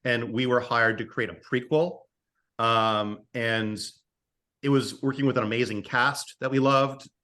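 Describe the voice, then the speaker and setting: A man's voice: mid-range voice, fairly even intonation, a little slow, sharp tone. A man speaking as a writer guest in a casual interview, hesitant and often repeating words as he forms his thoughts. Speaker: podcast guest, screenwriter and audio drama writer.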